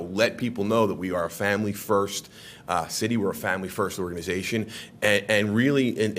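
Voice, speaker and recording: A man's voice: on the low side, a bit bright, slight background noise. A man on city staff giving an earnest presentation to a city council, with emphatic rises and frequent restarts. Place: council chamber.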